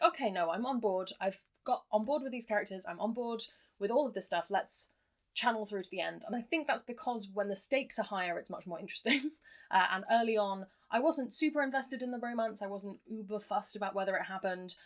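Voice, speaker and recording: A woman's voice: medium pitch, fast. A woman giving a casual, chatty review with wry understatement, speaking as a reviewer to her audience. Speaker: book reviewer. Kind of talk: casual scripted-free book review to camera.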